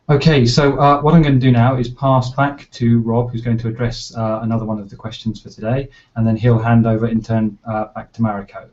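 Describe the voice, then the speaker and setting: A man's voice: lowish voice, darkish tone, dull audio. A man speaking on an academic panel, explaining his points articulately with some hesitations.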